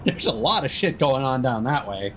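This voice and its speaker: Man casually commentating a game, lively pitch. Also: normal speed. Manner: muttering reactions to gameplay, swearing. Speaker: video game streamer. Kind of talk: casual let's play commentary. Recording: loud game noise behind voice. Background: video game ambience and sound effects.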